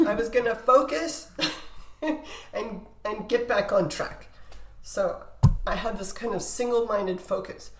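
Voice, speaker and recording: A middle-aged woman with a loud, projecting voice telling personal stories casually as a podcast guest, in an animated way, acting out conversations. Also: medium pitch, slow pace, echoey room, noisy background.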